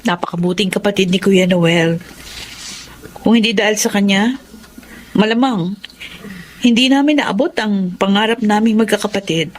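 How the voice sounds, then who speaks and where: A woman's voice: medium pitch, moderate pace, long pauses, a little hiss or hum, lightly acted, poor audio. A woman playing an earnest pleading sister. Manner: earnest, persuasive. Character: earnest younger sister pleading for her brother.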